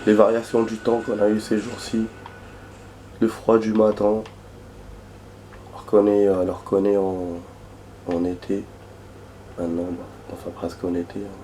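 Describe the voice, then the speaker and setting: Man, hoarse from a cold, low voice, chatty rambling gameplay commentary, noisy game audio behind. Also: completely flat delivery, a little slow, pauses often, warm tone. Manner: rambling, chatty, bursts of surprised swearing. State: hoarse from a cold, losing his voice. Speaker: gaming video host. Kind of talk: casual let's-play commentary. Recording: background noise and game sounds.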